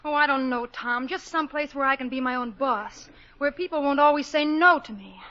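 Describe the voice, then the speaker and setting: A restless young woman in an old radio play, high and quick, dull broadcast sound with music. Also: sharp tone. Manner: quick, pouty and playful. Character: spirited young woman fed up with being told no. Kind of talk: 1940s radio acting.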